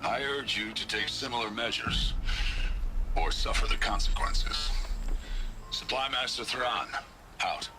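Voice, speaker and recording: A man's voice: mid-range voice, a little slow, pauses often, natural voice. Man playing a stern, formal supply master. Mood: threatening. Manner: clipped, formal, commanding. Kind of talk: scripted game audio log. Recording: music behind the voice.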